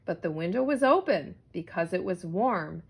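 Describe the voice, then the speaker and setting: A woman reading a rhyming children's story aloud in an animated, theatrical storytelling manner. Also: lowish voice, normal speed, vocal fry, slightly rough. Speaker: storybook reader.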